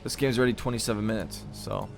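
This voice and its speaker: A man's voice: lowish voice, fast talker, creaky, music in the background. A man giving live commentary on a real-time strategy match in an easygoing, thinking-aloud way, and he is losing his voice. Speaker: game caster.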